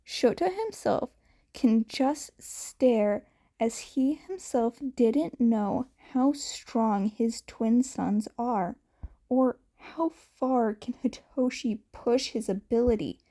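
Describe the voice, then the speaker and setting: A woman's voice: a little high, slow, warm tone. A woman narrating a scripted story as a podfic reader, occasionally stumbling over the script.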